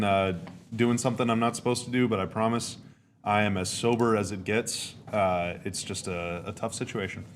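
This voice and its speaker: A young adult man, a bit hoarse and raspy, hosting a casual live stream from home and talking freely with his viewers. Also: fairly low pitch, slight background noise. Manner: chatty, reads chat comments aloud. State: hoarse, dry sinuses. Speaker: podcast host. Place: home room.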